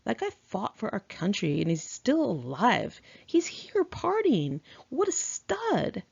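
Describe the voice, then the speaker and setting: A woman voicing a snobby, excitable young glam rocker man with a nasal Valley Girl drawl in a memoir reading. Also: on the high side, slow pace, gravelly, breathy edge, highs cut off, pitched above the performer's own voice, exaggerated voice. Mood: awed, disgusted.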